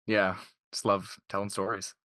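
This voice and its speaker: 22 yr old guy from canada chatting in an interview, bright voice, really dry sound. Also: on the low side, flat delivery, moderate pace, no room sound. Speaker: voice actor being interviewed. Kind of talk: casual interview chat.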